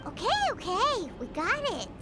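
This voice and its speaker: A woman voicing a tiny, cheeky childlike fairy companion in an anime game, with a squeaky voice. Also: sing-song, moderate pace. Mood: cheeky, proud. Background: background music.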